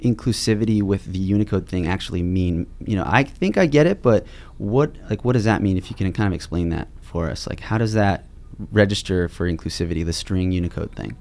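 A low-pitched young man hosting a podcast, with a gravelly, creaky edge to his voice. He talks in a relaxed, loose way, packing his rambling questions with fillers. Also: fairly quick. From California. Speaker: podcast host. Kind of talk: casual interview chat.

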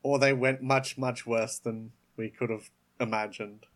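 A man narrating his character's actions in a tabletop game, casual and a little halting. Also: medium pitch, fairly even intonation. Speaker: player in an actual play podcast.

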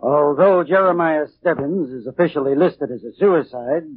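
An actor playing a suspicious, probing police inspector in a 1940s radio thriller. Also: male voice, fairly high pitch, normal speed, noisy background, close to an everyday voice. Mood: coolly insinuating. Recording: muffled, narrowband 1940s radio recording.

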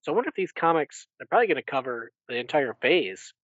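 A man's voice: medium pitch, big pitch swings, fast talker, a bit bright, no room sound, muffled recording. A man co-hosting a podcast, talking casually through a recap of books. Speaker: podcast co-host. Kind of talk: casual book recap discussion.

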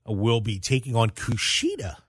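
A man's voice: mid-range voice, huge pitch swings, normal speed, a bit bright, slightly rough, dry recording, studio quality. A man hosting a sports talk radio show in a casual, conversational way, addressing his listeners directly.

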